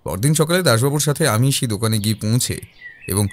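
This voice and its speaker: A man playing a composed detective who recounts his investigation in a measured, explanatory first-person narration. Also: medium pitch, expressive, lightly acted. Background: background music.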